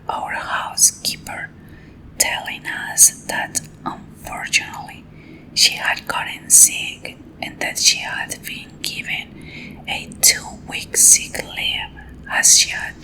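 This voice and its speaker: A young Colombian woman whispers slowly and intimately, close to the microphone, with a Colombian Spanish accent. Her hushed voice has a gravelly, fry-tinged texture and her phrasing rises and falls widely. She reads with the care of a native Spanish speaker working in English. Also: high-pitched, rough recording. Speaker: ASMR creator. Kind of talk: ASMR whispered story reading.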